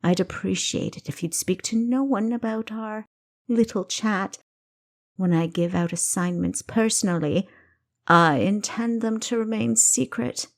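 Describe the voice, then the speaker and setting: A woman voicing a wicked, commanding king in an audiobook, mocking and deliberate in the way he speaks. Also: medium pitch, pitched above the performer's own voice, lightly acted. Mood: sly, menacing. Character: wicked, commanding king, male, menacing and teasing. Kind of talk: audiobook character dialogue.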